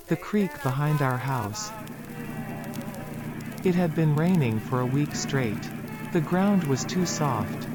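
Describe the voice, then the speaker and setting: An actor playing a troubled research subject in an analog horror drama, recounting childhood memories in a solemn, deliberate way. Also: male voice, medium pitch. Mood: haunted. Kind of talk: analog horror found-footage acting, narrative monologue.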